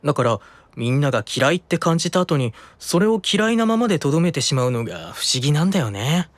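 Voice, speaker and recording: An actor playing a gentle, unflappable, curious young man in an anime-style audio drama, with a mild musing manner. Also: fairly high pitch, sing-song, a little fast, no room sound. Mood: calm, matter-of-fact.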